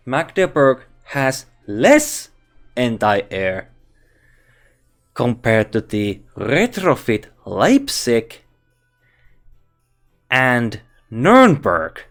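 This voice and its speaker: A man with a Finnish accent reviewing a game character, thinking aloud in halting English with frequent restarts. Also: medium pitch, big pitch swings, soft background music. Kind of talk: casual game commentary.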